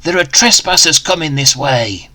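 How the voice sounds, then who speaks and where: Guy doing storybook pirate lookout, menacing, calling out a warning, bit raised pitch. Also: animated, normal speed, sharp tone, dry recording, exaggerated voice. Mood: alert warning.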